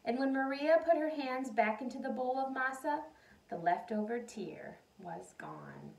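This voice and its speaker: A woman reading a storybook aloud to children in an expressive, animated way. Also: high voice, measured pace. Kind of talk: expressive storybook read-aloud with questions to listeners. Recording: roomy echo, distant mic.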